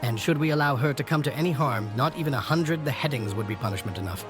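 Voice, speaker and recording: An actor playing an earnest, dutiful station master in a fantasy game, speaking in a formal, courteous and grave way. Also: male voice, mid-range voice, fast, over music, lightly acted. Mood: grave, urgent. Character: earnest, dutiful male station master guarding a noble secret. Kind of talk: scripted video game cutscene acting.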